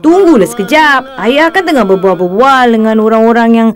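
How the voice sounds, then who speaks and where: Woman as cartoon schoolboy, very high voice, bossy big brother, nagging, Singaporean Malay accent. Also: lively intonation, moderate pace, natural voice. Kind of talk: cartoon dialogue.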